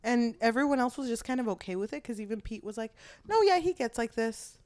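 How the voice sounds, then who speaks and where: A woman's voice: on the high side, lively intonation, brisk, darkish tone. A woman co-hosting a book podcast in casual conversation, informal and playful, with slangy phrasing. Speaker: podcast co-host.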